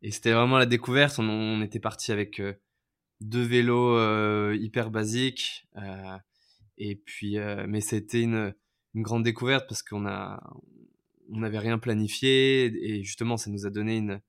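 A man's voice: fairly low pitch, fairly even intonation, a little slow, fairly bright tone, smooth. A man in his thirties from France, a podcast guest telling his life story in a casual, hesitant way with many fillers and restarts. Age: 30s.

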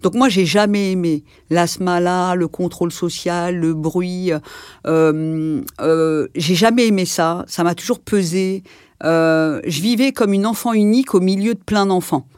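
A woman in her sixties born in France, recalling her life in a personal interview, pausing often with fillers and restarts. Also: fairly low pitch, a little mellow, clear, resonant tone, dry recording, clean studio sound. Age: 60s. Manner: hesitant, reflective, many fillers and restarts. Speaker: interview guest.

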